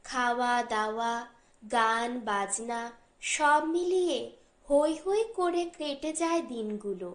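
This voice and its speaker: A woman reads a short story as an audiobook narrator, in a measured, deliberate way with pauses between phrases. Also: fairly high pitch, animated, reverberant.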